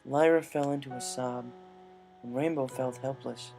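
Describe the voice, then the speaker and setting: A man narrates a written story. His voice sits at a middle pitch with noticeable vocal fry, and soft music plays faintly behind him. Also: fairly even intonation, moderate pace. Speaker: narrator reading a fan-fiction story.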